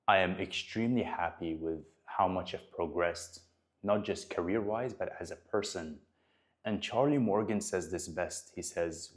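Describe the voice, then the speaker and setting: A man in his early twenties talks straight to the camera with a very low, deep voice. He speaks earnestly and motivationally about his own growth, and his sentences often stall and restart. He is a personal trainer recording a vlog. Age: 20s.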